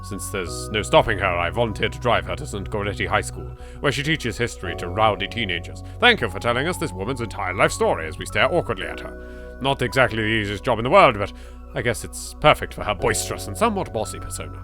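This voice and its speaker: A man with an English accent hosting a let's play, reading narration aloud and breaking in with animated, jokey asides. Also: fairly low pitch, quick pace, soft background music. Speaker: gaming video host.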